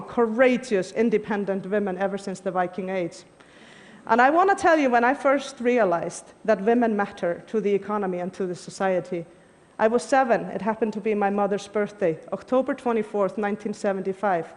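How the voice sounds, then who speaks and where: A woman, giving an earnest, emphatic prepared talk on stage. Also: medium pitch. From Iceland. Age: 40s. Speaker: conference speaker. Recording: long hall reverb.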